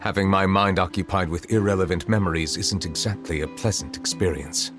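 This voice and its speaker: A man voicing a solemn chief judge who is secretly a dragon, speaking in a formal, grave and measured way in a video game. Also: low-pitched, fairly even intonation, close to an everyday voice. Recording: game audio with music behind.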